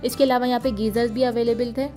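Flat-toned Pakistani woman vlogger. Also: on the high side, fast talker. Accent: Pakistani Urdu. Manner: steady, listing prices in a level, informative tone. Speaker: shopping vlogger. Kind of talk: vlog voice-over. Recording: voice-over with faint music behind.

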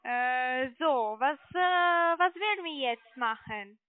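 A non-native German-speaking woman giving a hesitant sales pitch over the telephone as a call centre agent. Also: animated. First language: not German. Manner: hesitant, halting. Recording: muffled narrowband phone line.

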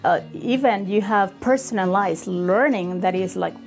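A woman with a Venezuelan accent, a native Spanish speaker, giving a personal testimonial in earnest, halting English. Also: medium pitch, gravelly, faint music behind. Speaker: kindergarten Spanish teacher.

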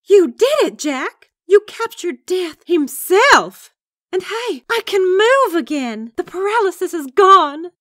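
A woman voicing a bold, lusty, sharp-tongued young frontier woman in a comic book dub, with theatrical emphasis. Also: extremely high pitch, sing-song, takes it slowly, no room sound, clean studio sound, exaggerated voice. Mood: elated.

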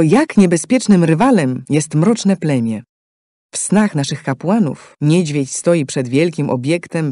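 A man's voice: fairly high pitch, dark tone, dry recording, clean studio sound. A man giving scripted, dramatic narration for a game story in a solemn, declamatory manner.

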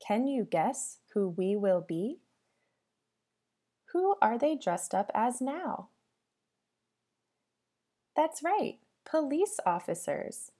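Animated woman reading to young children, unhurried. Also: fairly high pitch. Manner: sing-song, addressing children with questions and pauses. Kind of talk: interactive storybook read-aloud for young children.

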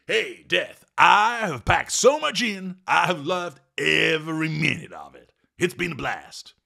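A man voicing a brash, boastful young male thrill-seeker who talks in loud, breezy slang. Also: fairly high pitch, very animated, fairly quick, vocal fry, husky, voice pushed up, full character voice.

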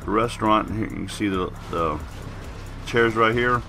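A man's voice: lowish voice, normal speed, pushed and bright, faint music behind. A man giving casual, plain-spoken game walkthrough commentary as a video host while getting over a cold.

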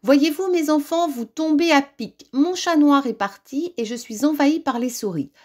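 A woman's voice: sits high, moderate pace, a little mellow, voice pushed up, natural voice. A woman voicing a bossy, gleeful old witch in a children's story, exclaiming and commanding with false sweetness. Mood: sweetly scheming.

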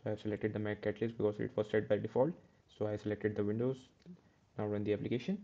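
A man's voice: on the low side, level tone, fast talker, dark tone, dull audio. A man teaching a step-by-step coding tutorial over a screen recording, correcting himself as he goes. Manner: step-by-step, self-correcting with "uh" and "okay". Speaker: programming tutor.

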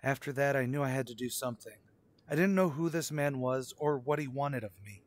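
A man's voice: medium pitch, a little fast, dry recording, natural voice. A male actor plays a motorist haunted on the open road in an old-time suspense radio play. His mid-range voice is intense and dramatic. He grows obsessive and stumbles over words when rattled. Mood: haunted, brooding. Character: haunted cross-country motorist, man, anxious, increasingly obsessive. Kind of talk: old-time radio suspense drama acting, first-person narration and dialogue.

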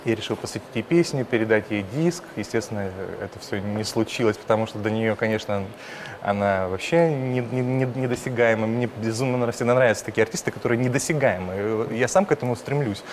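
A man in his thirties from Ukraine, a singer answering interview questions in a hesitant way, with pauses and restarts. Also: lowish voice, slight background noise. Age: 30s. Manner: hesitant, soft-spoken, many pauses and restarts. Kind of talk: casual interview talk.

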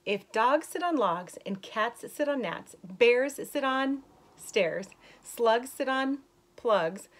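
A woman doing a storybook cat reciting slowly. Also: on the high side, animated, voice pushed up. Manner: slow, measured recitation. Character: thoughtful storybook cat listing rhymes.

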